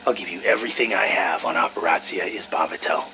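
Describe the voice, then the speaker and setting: An actor playing an irritable, slippery scientist, sarcastic and foul-mouthed, in a video game audio log. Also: male voice, low-pitched, quick pace, noisy background, natural voice. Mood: sly, pressing. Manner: sarcastic, blustering, swearing.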